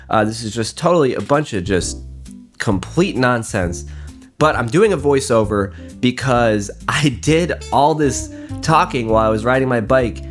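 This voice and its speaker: A man with a cold and a nasal voice, rambling through a casual, self-deprecating vlog voiceover. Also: medium pitch. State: congested from a cold. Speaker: photography vlogger. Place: voiceover recorded indoors. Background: guitar music.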